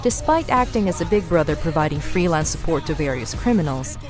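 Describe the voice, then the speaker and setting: A woman's voice: deep voice, expressive, a little fast, music in the background. A woman playing a poised, devoted assistant in an audio drama, speaking formally and precisely with dry deference. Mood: matter-of-fact, sly. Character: poised, devoted female assistant, formerly a pirate.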